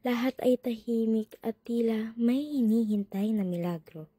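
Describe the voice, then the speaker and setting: A woman's voice: a little high, normal speed. A woman narrating a radio drama from a script as a storyteller.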